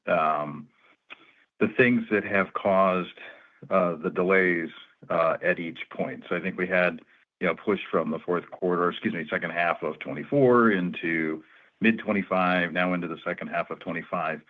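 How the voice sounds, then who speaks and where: A man speaking as a financial analyst, putting conversational questions on an earnings call over a telephone. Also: deep voice. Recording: narrow tinny phone line.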